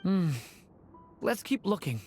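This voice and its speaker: A woman's voice: mid-range voice, sing-song, takes it slowly, a little mellow, soft background music. A woman voicing a young male dragonborn youth in an anime-style game, earnest, wistful and politely hesitant.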